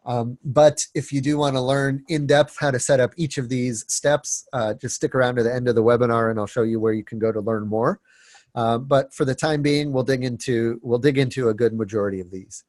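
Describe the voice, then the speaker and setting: A man's voice: medium pitch, steady tone, fairly quick, darkish tone, no echo. A man presenting a webinar, stuffy and sniffling from a cold, talking casually with many fillers and restarts. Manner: filler-heavy, frequent restarts and repeated words.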